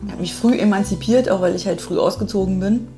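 A woman's voice: medium pitch, fast, over music. A middle-aged woman, hosting a homemade audio piece and casually telling personal stories. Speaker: host of a private homemade audio play.

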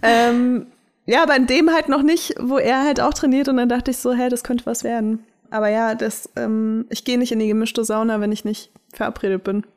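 A woman's voice: on the high side, steady tone, normal speed. A young woman co-hosting a podcast, chatting casually with a friend in a candid, giggly way. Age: young adult. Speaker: podcast co-host. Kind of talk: casual chat between friends.

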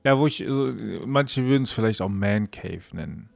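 A man's voice: on the low side, moderate pace, gravelly, a little mellow, no echo, faint music behind. A man co-hosting a podcast in casual conversation. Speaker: podcast co-host.

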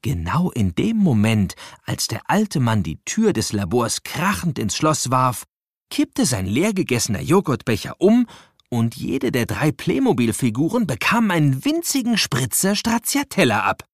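A man's voice: mid-range voice, huge pitch swings, measured pace, dry recording, studio quality. A man narrating a children's audio drama as a scripted story, building suspense with dramatic storytelling.

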